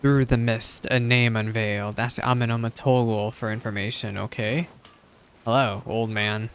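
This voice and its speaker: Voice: mid-range voice, steady tone, moderate pace, a little hiss or hum. A game streamer reacting live to story scenes, thinking aloud and echoing the dialogue. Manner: musing aloud, echoes dialogue. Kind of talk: live gameplay reaction commentary. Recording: game music under voice. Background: game music and dialogue.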